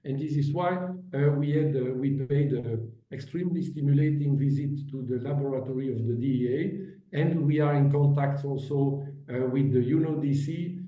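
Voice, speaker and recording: A man giving formal conference remarks as an agency director, halting with many fillers and restarts, speaking as an over remote call audio. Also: mid-range voice, steady tone. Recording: dull roomy audio from a distance.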